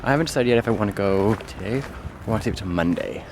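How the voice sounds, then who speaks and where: A man's voice: lowish voice, lively intonation, fast talker, background noise. Rambling young Canadian man vlogging. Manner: rambling, self-correcting, thinks aloud. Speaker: travel vlogger. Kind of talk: casual travel vlog. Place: outdoors in a park and indoors at an apartment.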